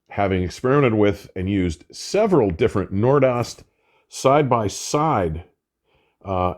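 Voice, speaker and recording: Older man, low animated reviewer voice. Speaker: audio equipment reviewer and host. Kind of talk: scripted product review narration.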